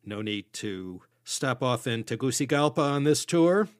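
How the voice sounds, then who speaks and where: A man from the United States hosting a history podcast, reading scripted narration in a measured storytelling way with dry asides. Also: mid-range voice, animated, no room sound. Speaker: podcast host.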